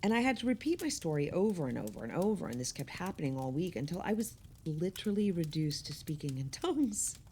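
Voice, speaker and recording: A woman's voice: fairly low pitch, very animated, quick pace, hardly any pauses, dark tone, a little hiss or hum. An actress playing an earnest, exasperated woman with wry opinions, speaking emphatically in conversational radio play dialogue.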